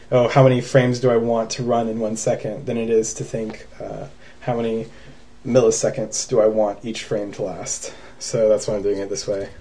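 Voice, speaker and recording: A man's voice: medium pitch, mellow. A man narrating a coding screencast in a casual, thinking-aloud way with many fillers. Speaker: programming tutorial host.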